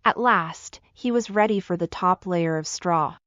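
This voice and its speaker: A woman's voice: fairly low pitch, a little slow, a bit bright, no room sound, lo-fi sound. A woman reading a scripted children's fairy tale as the storyteller.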